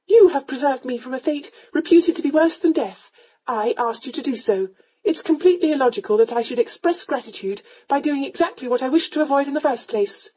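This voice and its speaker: A woman's voice: sits high, expressive, a little fast, warm tone, muffled recording, pitched above the performer's own voice. A woman voicing a prim, indignant young Regency lady in an audiobook, speaking formally, precisely and with a flustered edge.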